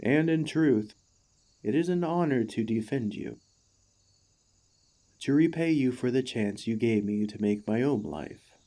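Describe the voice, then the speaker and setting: A man playing an emotional, excitable warforged ex-gladiator in an ASMR roleplay, gentle and devoted, with earnest, formal, halting speech. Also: mid-range voice, close to an everyday voice. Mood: earnest, admiring.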